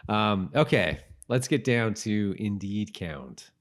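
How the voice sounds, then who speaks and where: Man, low voice, casual podcast co-host, halting, thinks aloud, repeats phrases. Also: lively intonation. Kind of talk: casual rewatch podcast chat.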